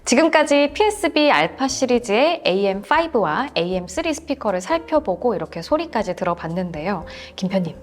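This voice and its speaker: A woman's voice: medium pitch, sing-song, a little fast, hardly any pauses, soft background music. A woman hosting a product review, presenting in a polite, conversational style. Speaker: show host.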